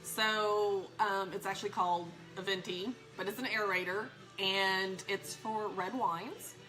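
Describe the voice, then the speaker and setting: A woman's voice: medium pitch, steady tone, unhurried, sharp tone, low-quality recording. A woman guest on a casual wine chat, explaining things easily with fillers like "um" along the way.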